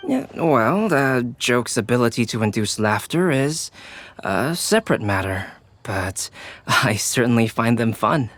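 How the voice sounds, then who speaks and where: Calm polite brainy young artist, video game acting, measured, medium pitch. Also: male voice. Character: soft-mannered, polite, thoughtful young scholarly artist.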